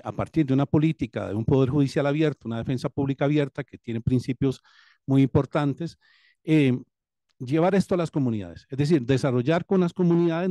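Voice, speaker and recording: A Costa Rican man with a fairly high, mellow voice, speaking earnestly and explaining at length. He pauses often and hesitates with fillers. The sound is dry and close, with no room echo. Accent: Costa Rican Spanish. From Costa Rica. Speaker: public defense director. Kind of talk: seminar audience comment.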